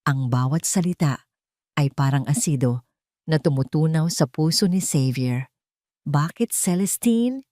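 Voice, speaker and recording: Female Filipino narrator for dramatic audio fiction, lower register, close dry recording. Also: normal speed, mellow, no room sound.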